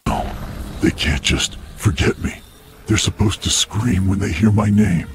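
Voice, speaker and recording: A man voicing a cruel, mocking dream-stalking killer in an animated short, speaking with a menacing drawl, over music. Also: on the low side, a little slow. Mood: panicked.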